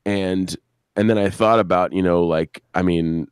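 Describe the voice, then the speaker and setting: A middle-aged man telling stories casually as a podcast guest, in a halting, rambling way with crude swearing. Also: low-pitched, fairly even intonation, clean tone, no room sound, clean studio sound. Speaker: podcast creator, interview guest. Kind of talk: casual live-stream chat, storytelling. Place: video live stream with a banner behind.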